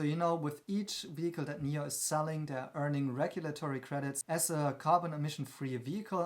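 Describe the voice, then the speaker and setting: A man hosting an investment channel, giving analytical commentary in an explanatory manner with frequent hesitations. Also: a little high. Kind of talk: analytical commentary on company earnings.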